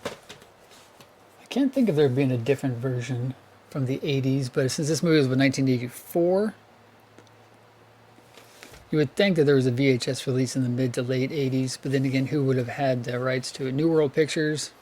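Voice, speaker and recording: A man with a hoarse, worn-down voice chats casually about his tape collection, thinking out loud and often correcting himself. His pitch sits in an ordinary middle range, and a faint room hum stays in the background. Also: normal speed, long pauses. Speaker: VHS collector channel host.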